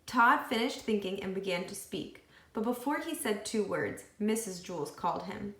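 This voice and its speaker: Female children's story reader, animated and deliberate, some vocal fry, recorded in an echoey room. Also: medium pitch. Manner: animated, deliberate phrasing with acted dialogue. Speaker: read-aloud storyteller. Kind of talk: expressive children's book read-aloud.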